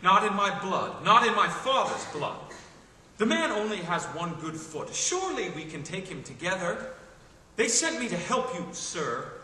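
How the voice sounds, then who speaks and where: A man's voice: high voice, big pitch swings, moderate pace, pushed and bright, exaggerated voice. A man playing a principled young soldier of ancient Greece in a dramatic play reading. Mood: earnest, reluctant. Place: large hall, panel table microphones. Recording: echoey, noisy hall, distant microphone.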